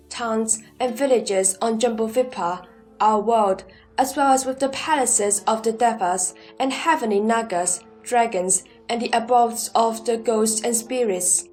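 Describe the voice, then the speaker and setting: A woman's voice: on the high side, darkish tone, close to an everyday voice. Sariputra, a woman voicing an earnest monk. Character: Sariputra, humble male monk disciple, earnest. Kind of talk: scripted audio drama dialogue.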